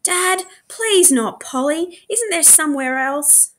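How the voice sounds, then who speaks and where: A woman's voice: high voice, lively intonation, slow pace, a bit bright, pitched above the performer's own voice, heavily acted. A woman voicing an anxious, indignant young girl in a storybook, protesting emphatically. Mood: pleading, indignant.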